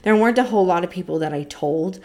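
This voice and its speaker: A woman's voice: fairly low pitch, fast, a little mellow. A young woman from the United States co-hosting a podcast, chatting at length in a talkative way full of fillers. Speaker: podcast co-host. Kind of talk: casual chat.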